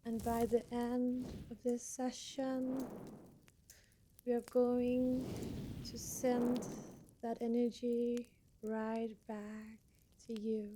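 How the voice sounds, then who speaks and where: A soft-spoken woman leading an ASMR energy-healing meditation in a soothing, deliberate manner. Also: fairly high pitch, completely flat delivery, background noise. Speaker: ASMR Reiki healer, host.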